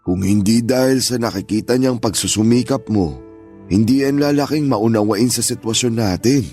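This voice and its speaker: A man's voice: mid-range voice, moderate pace, soft background music, lightly acted. An actor playing an elderly Filipino grandfather, stubborn but joking and reassuring, in radio drama style. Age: older. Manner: gentle, reassuring, fatherly.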